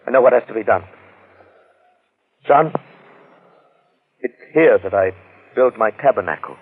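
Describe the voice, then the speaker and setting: Intense, brooding recluse; mid-pitched, halting and solemn, narrow-band vintage radio drama recording. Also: male voice, expressive, slight background noise. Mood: obsessed, resolute. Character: Lawson, brooding obsessed wealthy recluse in the jungle. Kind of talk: old-time radio drama acting.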